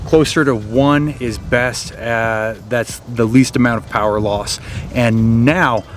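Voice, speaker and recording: A man's voice: medium pitch, fairly even intonation, slow, a little hiss or hum. A man hosting a casual, unscripted how-to vlog, explaining somewhat hesitantly as he thinks aloud. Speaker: vlog host.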